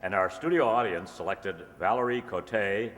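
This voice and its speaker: An upbeat male radio announcer acted out by a man with a deep voice. He delivers formal, salesy 1940s sponsor copy, polished and upbeat, in a reverberant hall. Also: moderate pace, fairly bright tone, natural voice.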